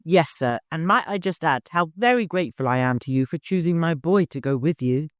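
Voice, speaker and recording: A man's voice: a little high, animated, brisk, no room sound, studio quality, voice pushed up, lightly acted. A man voicing a chatty, proud camel keeper in an audiobook, deferential, long-winded and eager to please. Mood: proud, grateful.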